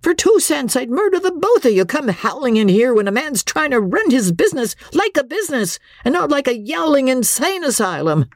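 A woman voicing an enraged middle-aged man in a squeaky falsetto. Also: normal speed, dry recording, clean studio sound, lightly acted. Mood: angry.

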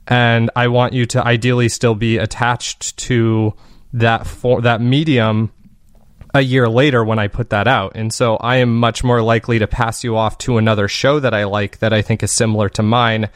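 Guy being interviewed, mid pitch, earnest n thoughtful, explains stuff, very dry sound. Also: little pitch movement, moderate pace, no echo. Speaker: guest, fiction podcast creator. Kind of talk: conversational interview answers.

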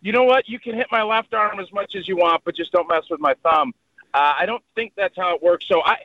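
A man giving casual football analysis on a radio morning show, animated and talkative. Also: a little high, dry recording, lo-fi sound. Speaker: former player, radio analyst. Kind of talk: casual radio sports talk.

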